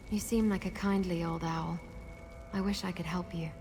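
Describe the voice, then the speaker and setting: A woman's voice: on the low side, fairly even intonation, normal speed, a little mellow, natural voice. Woman as earnest adventuring companion, music behind. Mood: sympathetic. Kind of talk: fantasy video game voice acting. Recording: game music under the voice.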